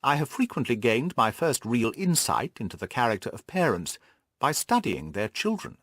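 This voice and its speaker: A man acts out a shrewd male detective from an audiobook story. He is brisk and commanding, reasoning things out emphatically with very lively swings of pitch in a close, dry recording. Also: no room sound. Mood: analytical, assured. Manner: emphatic, deductive explaining and giving orders. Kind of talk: audiobook character dialogue.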